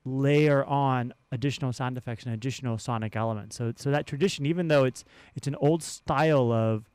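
A man speaking as a radio interview guest, explaining in a halting way and often repeating words as he goes. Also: medium pitch, darkish tone, clean tone, no echo. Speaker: interview guest, theatre sound designer and professor. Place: radio studio. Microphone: studio microphone.

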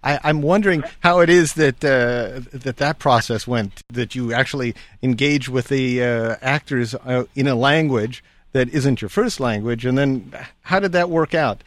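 A man's voice: medium pitch, normal speed, hardly any pauses, no echo. A man hosting a radio interview, asking easygoing questions with frequent fillers.

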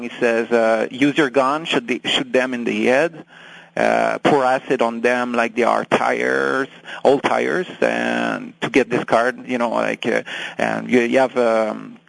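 A man with a French accent speaks in a deeply gravelly, fry-heavy voice. He is a native French speaker answering interview questions, pausing and restarting as he searches for English words. The recording is dry and close. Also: fairly bright tone, dry recording, rough recording. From France. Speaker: guest.

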